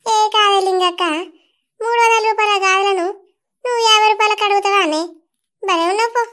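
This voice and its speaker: A woman voicing a fussy village mother-in-law in a cartoon, with a Telangana Telugu accent and loud, quick, fussing talk. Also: extremely high pitch, steady tone. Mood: haggling. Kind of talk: cartoon voice acting.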